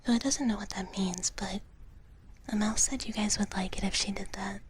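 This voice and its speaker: A woman livestreamer whispering breathily and gently into a close microphone as she chats playfully with viewers in ASMR style, in a big-sister dragon persona. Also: mid-range voice, moderate pace. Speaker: livestream host. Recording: close-up whisper with clear background noise.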